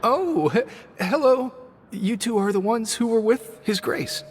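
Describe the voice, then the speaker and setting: Anxious young male worker, game NPC: high-set voice, timid, halting stammer. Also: lively intonation, slight background noise. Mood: nervous. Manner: nervous, stammering, hesitant. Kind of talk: video game voice acting.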